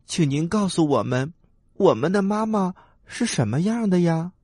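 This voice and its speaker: Man putting on an eager, high-pitched little tadpole child. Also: moderate pace, slightly rough, no echo, clean studio sound. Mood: polite, curious. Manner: excitable, pleading, childlike. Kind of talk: children's storybook character voice.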